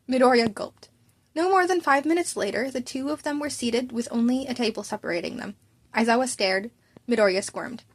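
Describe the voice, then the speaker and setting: A woman with a fairly high voice narrates a story. She reads informally, like a hobbyist, with the odd stumble. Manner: informal, stumbles and rereads lines. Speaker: amateur fan fiction narrator. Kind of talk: story narration with character dialogue.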